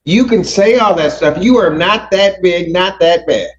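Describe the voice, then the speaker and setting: A man's voice: sits high, a little hiss or hum. Stern American male judge, slightly dull sound. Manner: stern, admonishing, halting with repetitions. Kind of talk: speaking from the bench in a court hearing. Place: courtroom. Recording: slightly dull courtroom audio.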